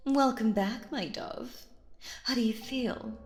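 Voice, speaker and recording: A woman playing a possessive, sinister stage magician in a roleplay audio drama, coaxing theatrically and slipping into breathy whispers. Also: a little high, takes it slowly, a little mellow, echoey room, soft background music. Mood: doting, pleased. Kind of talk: roleplay audio drama, intimate hypnotic monologue.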